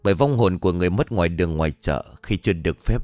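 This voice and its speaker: A man playing a solemn, calm spirit master in a horror story, speaking in a grave, measured manner. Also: deep voice, dry recording, lightly acted.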